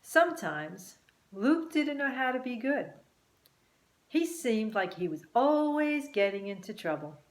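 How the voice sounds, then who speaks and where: A woman reading a children's story expressively and deliberately, with dramatic pauses. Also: on the high side, sing-song. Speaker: author and teacher reading own book. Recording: roomy, echoing room sound.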